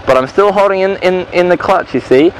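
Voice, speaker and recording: A man teaching motorcycle gear changes while riding slowly around an outdoor car park, talking casually through a helmet mic with lots of fillers and restarts. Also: high-pitched. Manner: relaxed, rambling, many fillers and restarts. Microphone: helmet-mounted mic with visor down. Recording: muffled helmet echo with engine noise.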